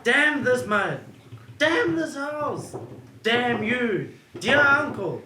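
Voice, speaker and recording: A man voicing a greedy, grumbling young heir who exclaims loudly, slowly and theatrically, in an echoey room with noise behind. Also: sits high, big pitch swings, voice pushed up, heavily acted. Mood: bitter. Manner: loud, slow and theatrical exclaiming.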